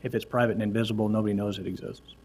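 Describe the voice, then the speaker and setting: A man's voice: on the low side, steady tone, darkish tone, breathy edge. A man, a city official, explaining a records system to a council with many fillers and restarts. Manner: halting, hesitant explanations. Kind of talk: answering council questions.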